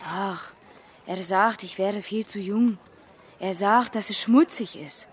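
A woman's voice: mid-range voice, slow, slightly rough. A woman playing a shy, naive teenage butcher's daughter, hesitant and guarded, in a German radio crime drama. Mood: uneasy, confiding. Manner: hesitant, guarded, simple short answers.

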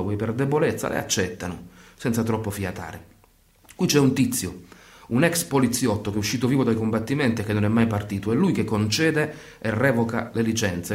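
An actor playing a storyteller, narrating a scripted radio drama in flowing, list-like phrases. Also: male voice, on the low side, close to an everyday voice. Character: male storyteller narrating life in a war-torn town.

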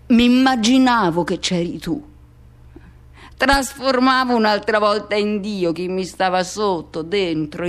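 A woman's voice: a little high, natural voice. A woman performing a radio theatre monologue as an embittered, seething man haunted by a lost love, with intense, incantatory delivery. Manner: intense, incantatory repetition, swinging from hushed to shouting.

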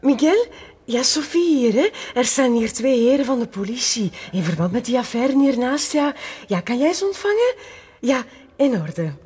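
An actress playing a polite, brisk college receptionist in a crime drama. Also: female voice, fairly high pitch, sing-song, darkish tone, a little hiss or hum. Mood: polite, helpful. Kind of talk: Flemish crime drama acting.

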